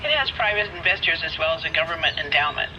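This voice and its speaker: An actor playing a formal, informative clerk in a crime game, heard through a telephone-style filter. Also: male voice, a little high, lively intonation, fast, pushed and bright, muffled recording, a little hiss or hum. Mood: formal, helpful. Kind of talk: dramatic game cutscene acting.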